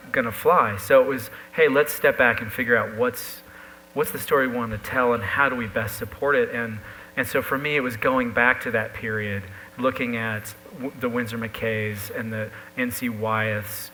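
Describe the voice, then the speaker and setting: Guy illustrator chatting on a panel, bright voice, bit of hum behind him. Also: medium pitch, low-quality recording. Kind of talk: live on-stage conversation about a book. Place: live event stage. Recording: faint hum on live event audio.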